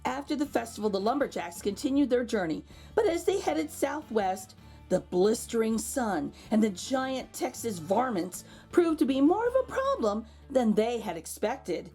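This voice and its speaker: High-pitched, animated woman reading to children. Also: moderate pace, soft background music. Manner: animated, with exclaimed asides to young listeners. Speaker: children's read-aloud host. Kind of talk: expressive picture book storytelling.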